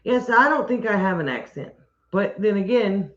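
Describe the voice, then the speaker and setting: A woman chatting on a homestead livestream, rambling and friendly in tone, often restarting her sentences. Her voice sits at an ordinary pitch. The audio is roomy and a little dull. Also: lively intonation, normal speed. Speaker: homestead channel co-host. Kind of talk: casual livestream chat.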